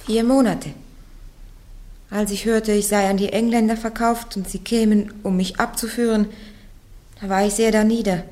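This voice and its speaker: A woman's voice: medium pitch, flat delivery, moderate pace, pauses often, a little mellow, lightly acted. An actress playing a young peasant girl soldier on trial, answering bluntly and defiantly in a classic radio play. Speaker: accused on trial.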